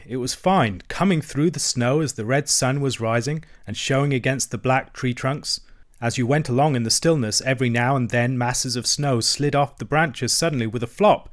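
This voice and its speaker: A man's voice: medium pitch, natural voice. A man voicing a cheerful, adventurous otter who tells his tale in a hearty, vivid storybook manner.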